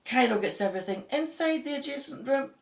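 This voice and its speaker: A woman's voice: sits high, animated, fairly quick, creaky. An actress playing a determined, diplomatic female Egyptologist in an audio drama. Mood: conciliatory.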